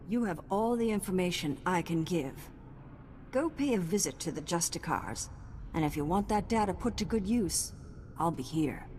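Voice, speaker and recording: A woman acts out a savvy undercity mother for a video game. She speaks low, with a wry, folksy ease, over noticeable background noise. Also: a little mellow, close to an everyday voice.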